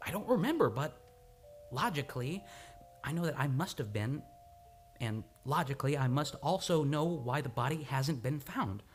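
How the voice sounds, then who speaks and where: A man playing a troubled, guilt-ridden amnesiac in an old-time radio play, halting and impassioned, by turns romantic and petulant. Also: medium pitch, animated, faint music behind, natural voice. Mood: anguished, confessing. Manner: halting, impassioned, swinging between teasing and anguish.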